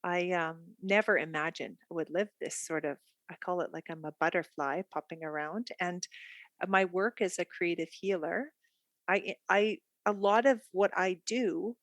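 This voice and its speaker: Chatty Canadian woman, low and dry. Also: normal speed, no echo. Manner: chatty, laughs easily, reflective. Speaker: guest art therapist. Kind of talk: casual podcast interview.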